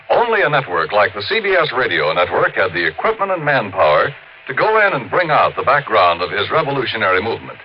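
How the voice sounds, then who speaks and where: A male announcer from old-time radio with a medium pitch and a very lively swing to his voice. He reads emphatic, polished promos. The recording is muffled, with faint noise.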